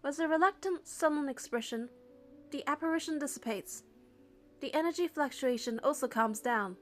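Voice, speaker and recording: A woman narrator reading a first-person story from a script in an expressive, suspenseful, dramatic way. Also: sits high, moderate pace, faint music behind.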